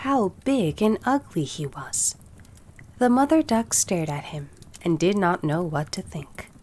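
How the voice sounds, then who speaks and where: A woman narrating a fairy tale, reading from a script in an expressive storybook style. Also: fairly low pitch, unhurried.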